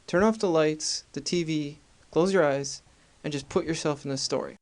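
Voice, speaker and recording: A man's voice: a little high, normal speed. A man hosting a podcast, introducing things casually in an informal, jokey, self-deprecating way. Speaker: podcast host.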